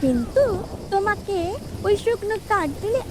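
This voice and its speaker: A woman's voice: extremely high pitch, big pitch swings, normal speed, background noise, pitched above the performer's own voice. A woman voicing a lazy, scheming wife crow in a children's cartoon, whining and bossy. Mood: calculating. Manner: whining, bossy, self-serving. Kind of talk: children's cartoon fairy-tale acting.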